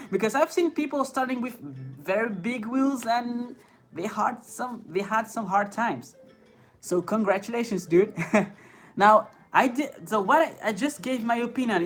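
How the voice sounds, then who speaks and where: A man's voice: high voice, expressive, unhurried, fairly bright tone. A man with a French accent, a native French speaker, hosting a live stream where he reads chat questions aloud, hesitating with fillers and laughing often. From France. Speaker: inline skating streamer. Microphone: phone. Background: faint background music.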